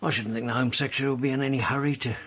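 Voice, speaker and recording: An actor playing a level-headed investigating man in a radio drama, measured and probing. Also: mid-range voice, steady tone, a bit bright. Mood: calm, reassuring. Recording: clear background noise and ambience.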